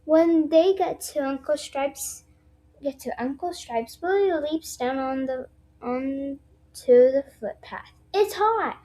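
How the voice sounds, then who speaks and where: A woman's voice: sits high, animated, slow, warm tone. A woman reading a children's storybook aloud, sometimes stumbling over her words. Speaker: storybook read-aloud presenter.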